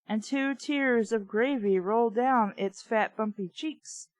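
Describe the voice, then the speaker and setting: A woman's voice: fairly high pitch, a little slow, no room sound. A woman reviewing a novel, reading passages aloud with wry asides and stumbling over names. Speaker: book reviewer.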